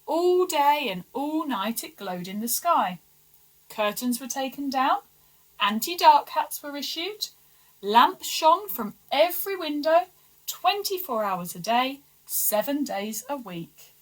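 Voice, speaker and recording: A woman reading a children's storybook aloud with expressive, deliberate, dramatic emphasis. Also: high voice, low-quality recording. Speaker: story reader for schoolchildren.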